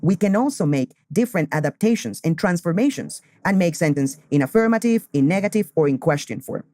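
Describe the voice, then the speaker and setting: Slow, deliberate teacher. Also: male voice, high voice, lively intonation, warm tone, no echo, clean studio sound. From Colombia. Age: 20s. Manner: slow, deliberate, repeats key phrases. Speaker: English teacher. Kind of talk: beginner English lesson.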